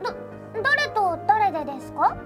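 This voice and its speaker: A woman playing a flustered, earnest high school girl in an anime radio drama, stammering and exasperated. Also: big pitch swings, moderate pace, loud music behind the voice. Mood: flustered, startled.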